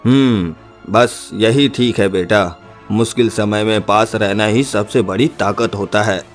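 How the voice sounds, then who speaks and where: A man's voice: lowish voice, unhurried, soft background music, lightly acted. A man playing a poor, protective woodcutter father, earnest and reassuring, in a cartoon story. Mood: cautious, calming.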